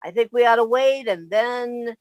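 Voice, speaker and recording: A woman's voice: a little high, moderate pace, a little mellow, dull audio, close to an everyday voice. A woman playing a sensible, exasperated young roommate in a 1950s-style radio comedy. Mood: urgent, cautioning.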